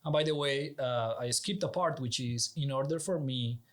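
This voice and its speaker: A man's voice: medium pitch, moderate pace, a bit bright. A man from Colombia, a native Spanish speaker with a Colombian accent, talking as an interview guest in an earnest, persuasive, conversational way. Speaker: interview guest, executive director. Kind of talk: conversational explanation.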